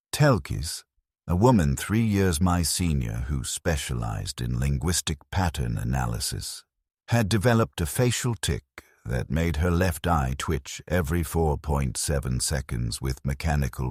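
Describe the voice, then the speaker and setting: A man narrating a first-person story in a grave, measured, detached way. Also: extremely low pitch, darkish tone, slightly rough, no echo, clean studio sound.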